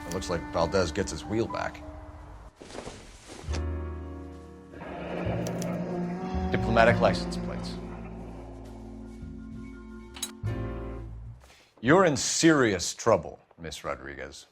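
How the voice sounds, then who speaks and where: A man's voice: deep voice, sing-song. A man playing a hard-nosed police detective in a crime game, pressing his point sternly. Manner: stern, pressuring, deliberate emphasis. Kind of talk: dramatic game acting. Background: background music.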